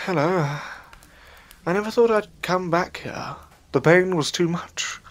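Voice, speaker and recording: A man playing a weary, bewildered adventurer in an audio drama, speaking in a halting, earnest way. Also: high voice. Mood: wistful. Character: weary, bewildered male adventurer returned from limbo, reflective and sincere.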